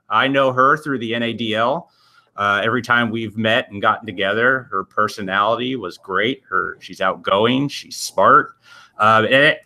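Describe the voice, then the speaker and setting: Bright-voiced man on a video call. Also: mid-range voice. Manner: rambling, self-deprecating, restarts often. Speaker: podcast host and editor. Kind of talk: casual interview chat.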